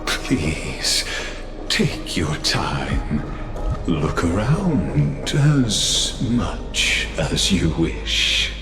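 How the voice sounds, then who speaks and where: An actor playing a grandiose, sardonic master of ceremonies who savors each phrase in a dramatic video game monologue. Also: male voice, low-pitched, sing-song, very slow, full character voice. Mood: ominous, knowing. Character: theatrical, sardonic male master of ceremonies guiding a visitor. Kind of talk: dramatic scripted game monologue, quasi-Shakespearean. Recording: echoing voice over loud music.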